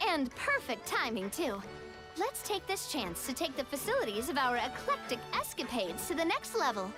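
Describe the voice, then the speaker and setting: A woman voicing a small, excitable, childlike companion in a video game, squeaky and perky. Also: moderate pace, music in the background. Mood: upbeat.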